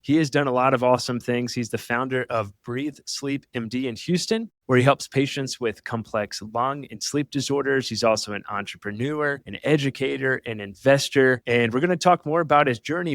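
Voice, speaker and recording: A man's voice: mid-range voice, fairly quick, hardly any pauses, a bit bright, no echo, studio quality. A man hosting an interview podcast in a casual, upbeat and effusive way. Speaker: podcast host. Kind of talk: enthusiastic interview chat.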